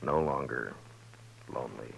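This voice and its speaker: An American man acting a gruff but humane, plain-spoken frontier marshal in a 1950s radio western. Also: a bass voice, measured pace, heavy background noise, heavily acted. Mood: reflective. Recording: dull vintage 1950s radio recording.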